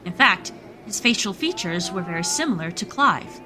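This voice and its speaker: Female narrator for audio drama. Medium pitch, scripted storytelling delivery over a clear music bed. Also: big pitch swings, normal speed.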